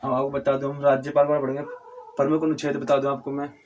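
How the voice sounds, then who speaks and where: Young guy with a cold, hoarse voice, teaching civics, lots of restarts, slight hiss behind. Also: medium pitch, monotone, a little mellow, dull audio. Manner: halting, with frequent restarts and throat clearing; addresses viewers as friends, asks and answers own questions. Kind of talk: civics lesson at a whiteboard.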